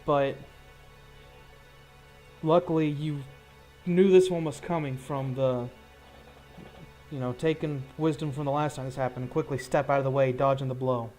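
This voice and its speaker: A man running a tabletop roleplaying game as game master, improvising measured, descriptive combat narration between rules calls. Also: mid-range voice, fairly even intonation, a little mellow, soft background music, poor audio.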